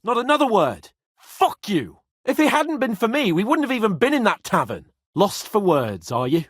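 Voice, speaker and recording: A man's voice: sits high, huge pitch swings, moderate pace, breathy edge, dry recording, studio quality, heavily acted. An actor playing a haughty, hot-tempered young medieval nobleman in a video game, with a petulant, exclamatory manner. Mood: angry, contemptuous. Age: young adult.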